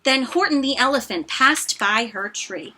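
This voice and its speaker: A woman narrates a children's storybook in a high, bright voice. Her delivery is very animated and expressive, with dramatic emphasis and softer asides to the listeners. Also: measured pace, a little hiss or hum.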